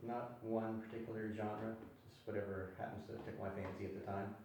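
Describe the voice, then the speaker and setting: Offhand low-voiced man in a noisy, echoey room. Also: little pitch movement, brisk, a little mellow. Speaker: freelance writer at a workshop. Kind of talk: casual self-introduction. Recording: echoey room, distant mic, clear background noise.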